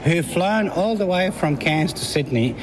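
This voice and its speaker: A man hosting a casual interview, chatty and quick to prompt his guests. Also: on the high side, expressive, noisy background. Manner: chatty, prompting, jumps in often. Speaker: host.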